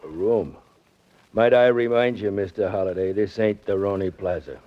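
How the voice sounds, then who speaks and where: A low male voice from an actor as a seedy desk clerk in a vintage radio crime drama. He is smug and mock-polite, insinuating with every courtesy. The old recording is dull and muffled. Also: normal speed, lightly acted. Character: shady, mocking male flophouse desk clerk.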